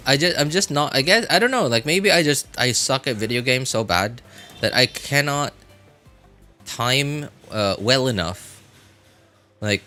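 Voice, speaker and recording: A man's voice: medium pitch, pushed and bright. A man streaming a video game, chatting casually in a rambling, self-deprecating way. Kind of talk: casual livestream chat while playing a video game.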